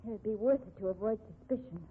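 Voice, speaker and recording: An actress playing an earnest, pleading office bookkeeper being blackmailed in a 1950s radio drama. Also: female voice, mid-range voice, fast talker, breathy edge, lightly acted. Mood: resigned. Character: accused female office bookkeeper, desperate blackmail victim. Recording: tinny band-limited 1950s radio recording.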